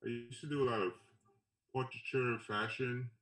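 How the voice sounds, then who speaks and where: A man from Trinidad and Tobago talks casually as an interviewed photographer, in a halting, laid-back way that repeats phrases. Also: mid-range voice, steady tone, fairly bright tone. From Trinidad and Tobago, later Brooklyn. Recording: roomy echo, distant mic.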